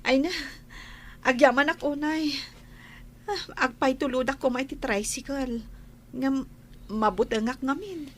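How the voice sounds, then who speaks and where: A woman's voice: sits high, a little slow, long pauses, slight background noise. A woman with an Ilocano accent acting an anxious, grateful villager in a radio drama.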